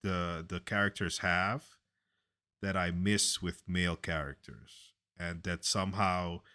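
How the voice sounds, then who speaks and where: Man, deep voice, very low pitch, loud, opinionated, halting with fillers, casual podcast chat. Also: fairly even intonation. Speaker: podcast co-host. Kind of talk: casual conversation.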